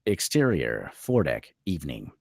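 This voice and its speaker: A man's voice: very deep voice, huge pitch swings, moderate pace, vocal fry, a little mellow, no echo, clean studio sound. A man narrating screenplay stage directions at a table read, reading scripted scene descriptions.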